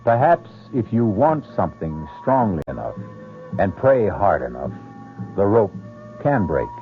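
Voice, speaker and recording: A man narrating as the solemn host of an old-time mystery radio show, deliberate and suspenseful, in a dramatic scripted style. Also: lowish voice, sing-song. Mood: musing, suspenseful. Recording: very dull old radio recording. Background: music.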